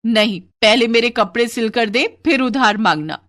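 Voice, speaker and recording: A woman's voice: on the high side, expressive, normal speed, a bit bright, pitched above the performer's own voice. A woman doing a curt, demanding neighbour woman. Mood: scolding. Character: demanding neighbour women, brisk and curt customers.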